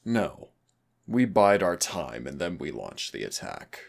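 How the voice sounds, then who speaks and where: Deep gravelly teasing demon played by a man. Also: sing-song, takes it slowly, exaggerated voice. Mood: teasing, menacing. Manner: taunting, commanding, mock-courteous. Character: teasing, predatory male demon hunter-trainer, smug and dangerous. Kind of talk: scripted roleplay audio acting.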